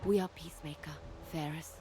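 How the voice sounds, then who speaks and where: A woman voicing a gentle, solemn plant creature who nurtures and makes peace, speaking in halting, simple phrases in a fantasy game. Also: low-pitched, fairly even intonation, over music, natural voice. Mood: serene, resolute. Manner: slow, halting, simple speech with plural self-reference.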